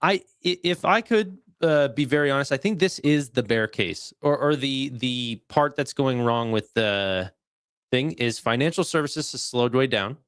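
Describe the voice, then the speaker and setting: A man with a medium-pitched voice, recorded close and dry. He chats as a co-host, offering opinions informally and feeling his way haltingly through them. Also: fairly bright tone, clean tone, no room sound. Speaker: live stream co-host. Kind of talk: casual chat commentary.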